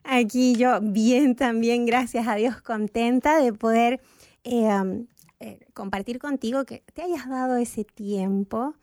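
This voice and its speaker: A woman's voice: on the high side, fairly even intonation, slow pace, a little mellow, dry recording, studio quality. A woman from Chile hosting a radio health show, chatty and full of fillers and restarts.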